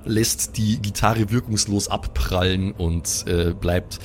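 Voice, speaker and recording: A man's voice: fairly low pitch, fairly even intonation, takes it slowly, slight background noise. A man improvising vivid, mock-dramatic narration as the game master of a tabletop role-playing game.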